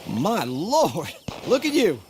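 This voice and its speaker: An actor playing a beleaguered, folksy frontier lawman with an expressive manner in a video game. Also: sits high, slow, noisy background, heavily acted. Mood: amazed. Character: beleaguered, excitable Western deputy-commanding lawman. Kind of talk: dramatic video game acting.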